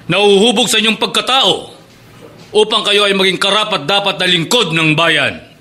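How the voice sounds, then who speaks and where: A man's voice: high-pitched, moderate pace, sharp tone. A man playing a stern police academy director, giving a formal, declamatory speech in a Filipino radio drama. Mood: solemn, rousing. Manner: declamatory, authoritative.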